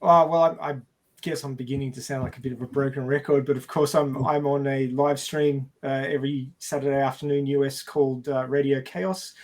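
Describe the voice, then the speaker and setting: A man chatting casually as a podcast panelist, with a hesitant, halting delivery. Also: mid-range voice, little pitch movement. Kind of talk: casual chat.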